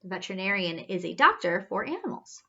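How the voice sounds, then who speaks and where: A woman's voice: medium pitch, very animated, fast, bright, forceful tone, lo-fi sound. A woman reading a storybook aloud to children in a theatrical way, asking questions and reacting with wonder. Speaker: story reader, children's teacher-like host.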